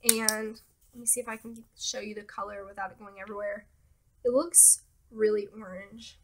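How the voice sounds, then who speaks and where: A young woman talking casually about beauty products as a vlogger, relaxed and hesitant with many fillers, her nose a little stuffy. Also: a little high. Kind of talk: casual product talk to camera.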